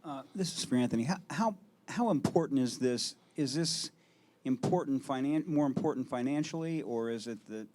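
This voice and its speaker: A man asks a long, probing question from the floor of a press conference as a reporter. His voice is mellow and dark and sits in a mid pitch. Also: expressive, normal speed, slight background noise.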